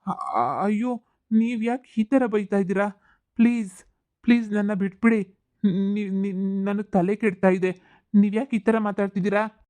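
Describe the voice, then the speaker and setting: A woman playing a male swindler who poses as a Chinese groom and talks smooth and evasive. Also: deep voice, fairly even intonation, normal speed, darkish tone, close to an everyday voice. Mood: pleading. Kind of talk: comedy sketch acting.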